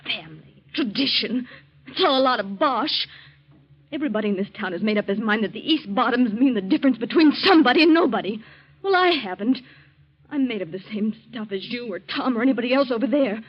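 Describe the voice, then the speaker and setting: A woman's voice: fairly high pitch, lively intonation, a little fast, breathy edge. A woman playing a proud, defiant young woman in a 1940s radio drama, speaking firmly and indignantly. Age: young adult. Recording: dull lo-fi old radio recording.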